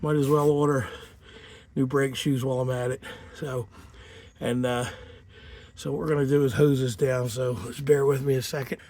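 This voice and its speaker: A man's voice: medium pitch, level tone, normal speed, long pauses, darkish tone. A man talking casually through a home repair job outdoors, rambling with lots of fillers and restarts, sniffling from a lingering cold. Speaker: home mechanic. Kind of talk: casual garage how-to.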